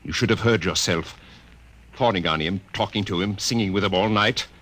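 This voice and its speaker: Low-voiced, jealous Martian husband. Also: male voice, normal speed, a bit bright. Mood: accusing. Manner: brusque, demanding, accusing. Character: jealous, controlling, bad-tempered Martian husband. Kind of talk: dramatized science fiction radio drama.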